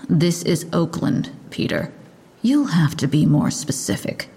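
An actress playing a brisk, sardonic police lieutenant giving a guarded press briefing in an audio drama. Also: female voice, sits low, wildly swooping pitch, gravelly, dark tone, slightly rough, exaggerated voice. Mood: guarded, curt.

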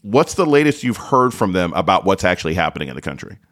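A man hosting a radio interview, reading a scripted introduction and asking measured, calm questions. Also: sits low, slight background noise. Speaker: radio host.

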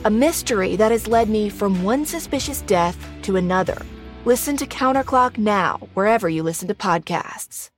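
A woman's voice: mid-range voice, lively intonation, normal speed, faint music behind. A woman journalist reading a scripted, dramatic podcast trailer as its host. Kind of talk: scripted podcast promo narration.

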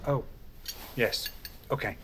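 An actor playing an ailing, mild, absent-minded President in an audio drama, speaking haltingly. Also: male voice, background noise, lightly acted. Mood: vague, mild.

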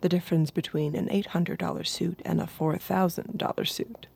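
A woman playing an obedient, observant android escort, speaking in a measured, intimate way in an audio drama. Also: on the low side, fairly even intonation, vocal fry, darkish tone, husky, heavily acted. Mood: detached, wistful. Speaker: narrator and lead character.

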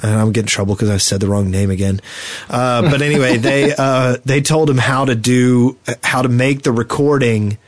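A man's voice: medium pitch, moderate pace. A man co-hosting a podcast, talking in casual chat. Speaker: podcast co-host.

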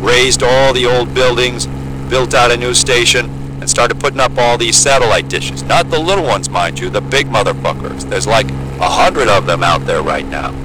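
Blunt, chatty male pilot over loud engine noise, acted by a man. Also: mid-range voice, normal speed, lightly acted. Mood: gossipy, wry. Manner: casual, sweary, folksy. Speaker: pilot character in an audio drama. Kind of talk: naturalistic audio drama dialogue.

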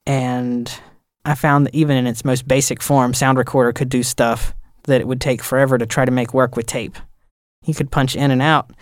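A man's voice: mid-range voice, little pitch movement, moderate pace, no echo, studio quality. A man, an audio engineer, telling stories in a casual podcast conversation with rambling enthusiasm.

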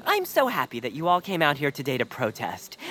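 A woman's voice: deep voice, a little fast, a little hiss or hum, full character voice. A woman voicing an earnest, anxious, over-organized young activist kid in a comic children's audio drama. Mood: earnest, urgent. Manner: earnest, expressive, fretful.

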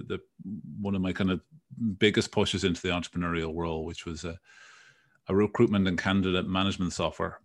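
A man around forty speaks with a Dublin Irish accent in a very low voice. He talks casually and conversationally, like an entrepreneur telling his own story on a podcast. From Dublin, Ireland. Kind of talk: casual autobiographical interview talk.

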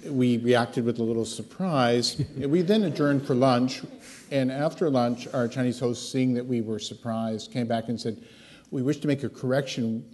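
An older man on a discussion panel answers from the stage with a story. He chuckles as he goes, at a middle pitch. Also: normal speed, a little mellow, slight background noise. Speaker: panel expert.

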